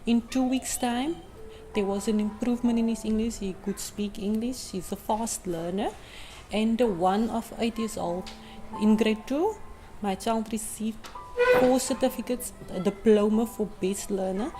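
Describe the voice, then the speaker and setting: Earnest South African woman, halting delivery. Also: a little high, mellow, rough recording. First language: Afrikaans. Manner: earnest, with frequent hesitant ums. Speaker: community maths tutor and mother. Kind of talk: unscripted testimonial. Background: music.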